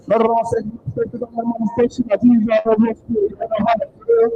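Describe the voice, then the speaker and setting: A man's voice: very high voice, big pitch swings, fast, phone-quality sound, a little hiss or hum. A young man with a Southern American accent performing gospel rap at a live church event, rhythmic with call-and-response. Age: young adult. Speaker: gospel rapper.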